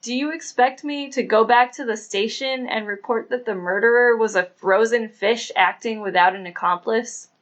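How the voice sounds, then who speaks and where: A man voicing a sober, skeptical female police inspector, reasoning in a measured, firm way in an audiobook. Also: sits high, a bit bright. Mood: uneasy, skeptical.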